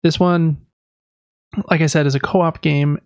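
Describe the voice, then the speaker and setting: A man's voice: on the high side, flat delivery, measured pace, a little mellow, smooth, no room sound, lo-fi sound. A man hosting a podcast, chatting casually and informally through gaming news. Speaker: podcast host. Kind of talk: casual video game news talk.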